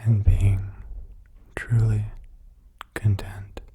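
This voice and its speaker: Very slow, breathy whispering man. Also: fairly low pitch, little pitch movement. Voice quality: breathy, hushed, mostly whispered. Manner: slow, soothing delivery with short halting phrases. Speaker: ASMR meditation guide. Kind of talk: soft-spoken ASMR guided meditation.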